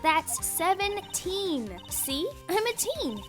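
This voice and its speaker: A woman playing an excitable, childlike seventeen-year-old fangirl in a game fandub, perky and melodramatic. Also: very high-pitched, takes it slowly, over music, exaggerated voice. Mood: perky, playful. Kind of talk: cartoonish game fandub acting.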